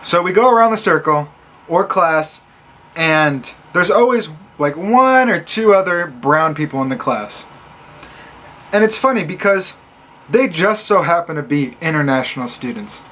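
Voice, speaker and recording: High-voiced young man telling stories. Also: a little slow, slight background noise. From Illinois, USA. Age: young adult. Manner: animated and sarcastic, acting out others' lines. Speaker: college student vlogger. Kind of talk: casual storytelling vlog.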